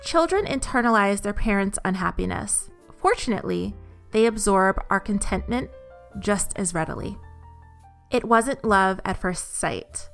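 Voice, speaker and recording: Woman narrator with a creaky edge. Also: medium pitch, expressive, measured pace, a bit bright, soft background music. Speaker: narrator reading quotations. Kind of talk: scripted narration of quotations.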